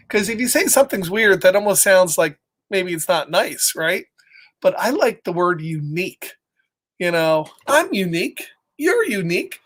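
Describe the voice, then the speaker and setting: A middle-aged man reading aloud and telling casual stories to children in an encouraging, expressive, chatty way. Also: high-pitched. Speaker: storytime reader for children. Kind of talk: animated read-aloud and casual personal storytelling.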